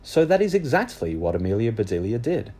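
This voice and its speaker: A man reading a children's book aloud as animated storybook narration. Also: mid-range voice, a little fast, husky.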